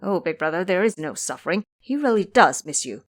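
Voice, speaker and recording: A woman's voice: on the low side, very animated, fairly quick. A woman voicing a cowardly, wheedling pig-monster disciple in an audio drama, whining and grovelling as he pleads.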